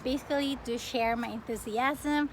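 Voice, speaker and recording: High-voiced young woman chatting, noisy recording. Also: steady tone, moderate pace. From Philippines. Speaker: plant vlogger. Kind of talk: casual get-to-know-me question-and-answer chat. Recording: clearly noisy home recording.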